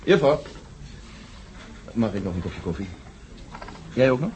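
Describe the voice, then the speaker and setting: An actor in a radio play voicing a general, a genial old friend with a dryly teasing manner. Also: male voice, on the low side, big pitch swings, a little slow, a little mellow. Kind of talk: radio drama acting. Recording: noisy café ambience behind voice.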